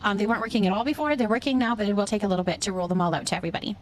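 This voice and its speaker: A woman's voice: medium pitch, very fast, slight background noise. A woman livestreaming a game, chatting casually with viewers and thinking aloud as she goes.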